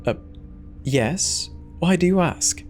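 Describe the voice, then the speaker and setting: A man's voice: on the high side, animated, slow pace, faint music behind, pitched above the performer's own voice. Man playing an anxious, protective dad. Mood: nervous. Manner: urgent, pleading, emotional. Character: anxious, protective father of a teenage girl.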